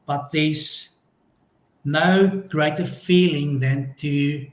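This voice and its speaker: A man talking as a songwriter guest on a live-stream video call, slow and thoughtful. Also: fairly high pitch. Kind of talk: casual live-stream chat. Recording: echoey room.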